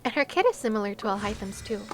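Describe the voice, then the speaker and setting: A woman's voice: mid-range voice, big pitch swings, quick pace, soft background music. A woman chatting casually to viewers on a game livestream, with a playful, giggly, chatty manner. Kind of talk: casual livestream chat while gaming, talking to viewers.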